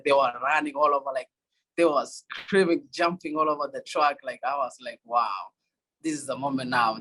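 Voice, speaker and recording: Kenyan college runner, young man, husky tone, halting answers. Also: mid-range voice, bright, forceful tone, rough recording. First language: Swahili. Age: young adult. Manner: halting, many fillers and restarts. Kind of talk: interview answers.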